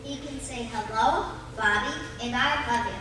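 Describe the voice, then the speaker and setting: A woman's voice: on the high side, normal speed, natural voice. A woman playing an eager, curious young girl with a girlish voice in a live radio play. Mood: chatty. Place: live stage in arts center. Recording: echoey theatre hall, distant mic, noisy.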